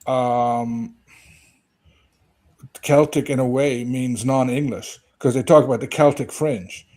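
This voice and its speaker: Man w/ dark mellow voice, calm knowledgeable history talk, bit halting. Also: mid-range voice. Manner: measured, knowledgeable, hesitant restarts. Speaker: history commentator. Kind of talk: casual discussion.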